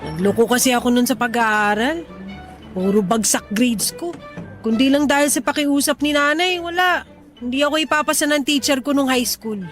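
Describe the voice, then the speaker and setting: A woman's voice: high-pitched, moderate pace, soft background music, close to an everyday voice. A woman playing a blunt, joking tomboyish young mechanic in a radio drama. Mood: bantering.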